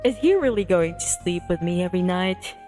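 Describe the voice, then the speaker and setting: A playful, giggly, expressive woman streamer chatting and reading a visual novel aloud on a livestream. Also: fairly low pitch, brisk, faint music behind.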